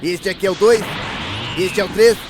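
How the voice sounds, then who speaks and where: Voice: medium pitch, moderate pace, over music, natural voice. An actor voicing a cheerful, eager male martial-arts anime warrior, friendly and boastful in a parody dub. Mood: eager, showing off.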